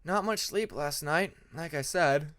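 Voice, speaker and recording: A man's voice: on the high side, a little slow, a bit bright. A man voicing a polite, modest young male dragon student in a fan fiction audiobook. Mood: tired, honest.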